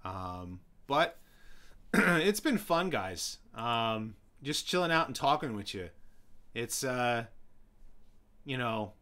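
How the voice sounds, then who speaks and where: Young guy rambling on his live stream, bright voice going a bit hoarse, lots of um and uh. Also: mid-range voice, sing-song, slow pace, long pauses. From New York City. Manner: rambling, many fillers and restarts. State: voice getting hoarse. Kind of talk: casual live-stream chat answering viewers.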